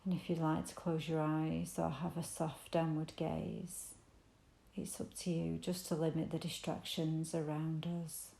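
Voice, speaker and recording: A woman with a mellow, creaky voice guides a meditation gently and soothingly. Her delivery stays very even and invitational, with unhurried pauses between phrases. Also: deep voice, echoey room. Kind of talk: guided body scan meditation.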